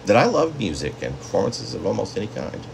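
A man's voice: fairly low pitch, wildly swooping pitch, brisk, vocal fry, noisy background. A man in his fifties talking to camera in a conversational, rambling way, like a poet sharing his own work at home. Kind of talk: casual talk and poetry reading to camera. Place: small indoor home room.

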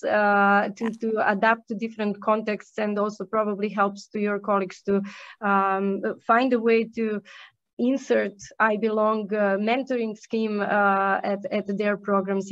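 A woman moderating an online panel, handing the floor to each speaker in turn. Her voice sits at a middle pitch and she speaks haltingly with frequent fillers. The call audio is dry and dull. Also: dry recording.

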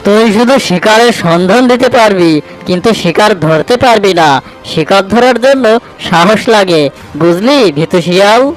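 Cartoon tiger, acted by a woman: contemptuous, challenging, mid-pitched, light music underneath. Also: normal speed, close to an everyday voice, low-quality recording. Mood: scornful. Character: scornful tiger belittling a cowardly fox. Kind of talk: children's cartoon dialogue.

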